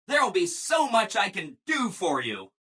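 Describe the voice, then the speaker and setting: Game robot assistant: bright, very animated, ingratiating, relentlessly upbeat people-pleaser. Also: male voice, sits high, normal speed, husky, exaggerated voice. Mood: chipper, helpful. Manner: chipper, ingratiating, emphatic, apologetic people-pleaser. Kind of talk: scripted video game dialogue.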